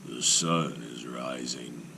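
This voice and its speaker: A man voicing a giant male clay golem, simple and slow, speaking in a flat, rumbling way. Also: creaky, noisy background, heavily acted. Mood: wondering.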